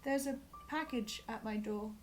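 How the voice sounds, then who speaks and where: A woman's voice: fairly high pitch, moderate pace, faint music behind, close to an everyday voice. A woman playing an anxious, self-doubting male archivist with a stammering, nervous delivery, in an audio drama. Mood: uneasy. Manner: stammering, nervous, breaking into hesitations.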